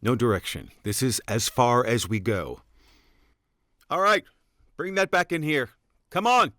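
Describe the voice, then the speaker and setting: A man's voice: medium pitch, sing-song, measured pace, pushed and bright. A man playing a commanding thirtyish park crew leader in an audio drama, clipped and authoritative.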